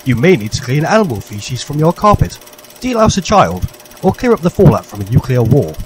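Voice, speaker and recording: A man delivers instructions like a vintage public information film. His pitch moves in an animated way, and his manner is formal and jolly in an old-fashioned style. A light mechanical rattle runs behind his voice. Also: brisk, a little hiss or hum. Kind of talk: parody vintage public information newsreel narration.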